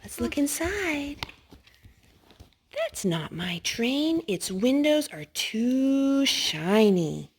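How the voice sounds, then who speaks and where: A woman reading picture books aloud to young children in an animated way, coaxing them with questions and leaning on key words. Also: sits high, extremely slow, slight background noise.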